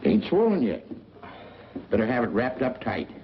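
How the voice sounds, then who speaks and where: A man's voice: medium pitch, huge pitch swings, moderate pace, vocal fry, a little hiss or hum. An actor playing a friendly, talkative small-town man in the manner of a 1940s film. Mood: reassuring. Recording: dull old film soundtrack.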